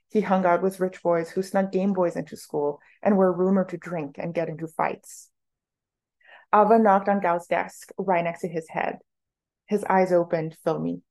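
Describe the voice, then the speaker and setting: A woman's voice: lowish voice, a little mellow. A woman author reading literary prose aloud from her own novel in a narrative style.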